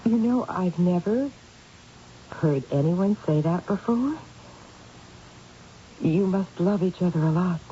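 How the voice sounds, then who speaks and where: A muffled, hissy vintage radio recording carries a lonely middle-aged neighbour woman. Her voice is lowish and mellow, and she confides in a hesitant, anxious ramble, apologising as she goes. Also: expressive, slow pace, long pauses. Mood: friendly, hesitant. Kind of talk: old-time radio drama acting.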